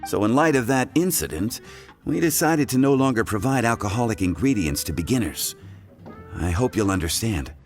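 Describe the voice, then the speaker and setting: A man's voice: lowish voice, animated, moderate pace, soft background music, natural voice. An actor playing a friendly bartender explaining the basics of his trade, chuckling as he talks. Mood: instructive. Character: friendly adult male bartender teacher. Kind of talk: game cutscene voice acting.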